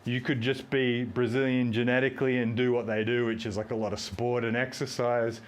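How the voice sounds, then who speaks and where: A man's voice: medium pitch, little pitch movement, brisk. A man, hosting a fitness live stream, rambling and joking while he does squats and counts reps aloud. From Australia. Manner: talks while doing squats.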